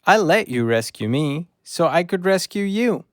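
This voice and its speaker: A man's voice: high voice, very animated, a little slow, no room sound, studio quality. A man playing a loyal, eager rescue dog in an audio drama, earnest and pleading with his human. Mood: pleased.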